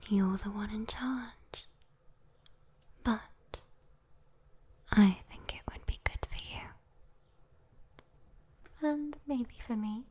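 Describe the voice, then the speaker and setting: A British woman voicing a sweet, teasing, doting demon girl in a soothing ASMR bedtime roleplay, whispering gently with coy endearments. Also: fairly high pitch, slow, long pauses, husky. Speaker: ASMR voice performer.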